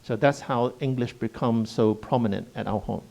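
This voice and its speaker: A middle-aged man with a gravelly, mellow voice speaks on a panel. He explains emphatically and walks through his points one at a time, like a kindergarten educator making a case. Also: medium pitch, moderate pace. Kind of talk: panel discussion. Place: Hong Kong.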